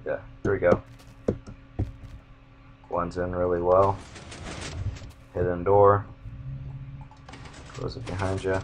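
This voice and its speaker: Low, slow, monotone young man. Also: background noise. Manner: rambling, self-interrupting. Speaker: gamer showing his build. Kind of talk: casual game walkthrough.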